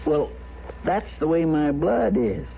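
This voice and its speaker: A man's voice: on the high side, expressive, takes it slowly, gravelly, full character voice. A man playing a sheepish, folksy deputy in an old radio western, with a rural American accent and rambling, hesitant delivery. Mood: sheepish confession. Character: sheepish, lovesick, folksy deputy of a frontier marshal. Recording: tinny, faintly noisy old radio broadcast.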